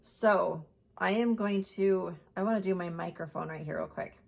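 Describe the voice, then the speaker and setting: A woman art teacher giving a chatty step-by-step painting lesson, her voice hoarse. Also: on the low side, normal speed. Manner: chatty, plain instructional talk.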